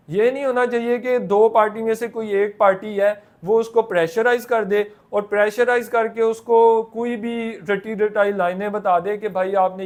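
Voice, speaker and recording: High-pitched Pakistani man giving a lecture. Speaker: law lecturer. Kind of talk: explanatory lecture in simple terms.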